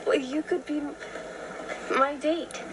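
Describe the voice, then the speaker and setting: A woman's voice: high voice, fairly even intonation, slow, far from the mic, very noisy. Shy, stammering teen girl. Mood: nervous. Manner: hesitant, stumbling. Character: shy, awkward teenage girl. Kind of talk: television drama acting. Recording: TV clip with background voices.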